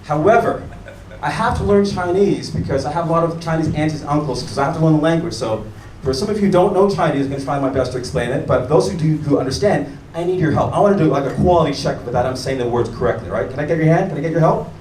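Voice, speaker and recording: An American man doing a stand-up comedy set on a club stage, animated and chatting with the audience. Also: fairly high pitch, fast. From United States. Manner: animated, mimics locals and chats with the audience. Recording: echoey room taped from far off, crowd noise.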